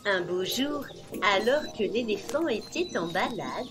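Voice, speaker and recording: A woman narrating fairy tales for small children in an expressive, deliberate scripted style. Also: medium pitch. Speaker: children's storyteller. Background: music.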